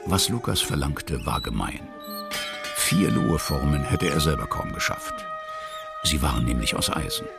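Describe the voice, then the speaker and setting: Storyteller: extremely low, gravelly, measured explanatory delivery for a historical children's radio play. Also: male voice, expressive, loud music behind the voice, heavily acted. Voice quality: very deep, gravelly. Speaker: narrator.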